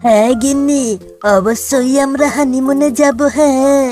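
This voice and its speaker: A woman's voice: high-pitched, fairly even intonation, measured pace, mellow. A woman voicing an eager, henpecked newlywed animal husband in a cartoon, with an exclamatory, pleading manner. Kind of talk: Bengali cartoon voice acting. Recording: music under the voice.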